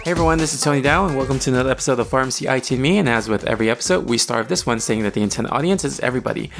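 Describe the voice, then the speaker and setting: A man's voice: mid-range voice, very fast, vocal fry, music in the background. Casual male podcast host. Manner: friendly, casual, filler-heavy questions. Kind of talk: podcast interviewing.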